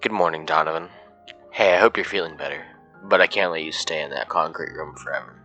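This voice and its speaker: A man acting as a callous male boss on a call in a horror audio drama. The voice is very low with a hard, bright edge, breezy and falsely sympathetic, with music faintly behind it. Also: a little fast, lo-fi sound. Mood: breezy, condescending. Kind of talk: scripted horror podcast acting. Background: instrumental music.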